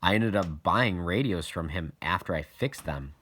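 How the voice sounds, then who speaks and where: A man's voice: deep voice, animated, normal speed, a bit bright. A man reading a children's novel aloud as a first-person narrator. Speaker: audiobook reader.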